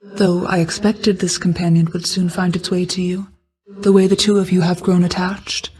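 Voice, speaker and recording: A woman voicing an ancient goddess of night in a video game, a solemn, caring mother who speaks in a formal, measured, reserved way. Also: fairly low pitch, level tone, a little mellow, natural voice, poor audio. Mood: solemn, approving. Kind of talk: video game character dialogue.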